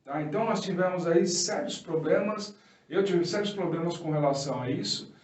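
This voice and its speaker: A Brazilian man delivers an informal religious teaching in a fairly high voice. He speaks colloquially and didactically, putting questions to his listeners and answering them himself. The recording is roomy and echoey, with the microphone some distance away. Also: moderate pace, a little mellow. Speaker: preacher giving an opening teaching. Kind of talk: informal extemporaneous sermon.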